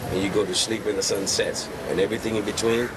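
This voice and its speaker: A middle-aged man from Sri Lanka, a horse trainer, answering interview questions outdoors into a handheld mic, relaxed with many fillers. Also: on the low side, fast talker. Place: outdoors, urban street. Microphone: handheld reporter mic. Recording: loud outdoor street noise.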